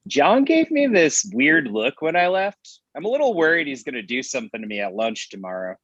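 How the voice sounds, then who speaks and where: A man's voice: a little high, sing-song, fairly quick, fairly bright tone, breathy edge, no room sound, full character voice. A man playing a worried, mild-mannered friend, conversational and conflicted, in a comedy table read. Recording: dull, close dry microphone.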